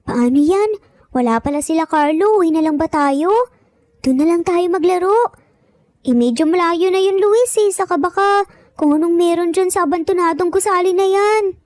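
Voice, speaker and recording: A woman's voice: extremely high pitch, normal speed, darkish tone, no echo. A woman voicing an eager, earnest young boy in a dramatized story. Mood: worried, urging. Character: young triplet boys, eager and earnest little brothers.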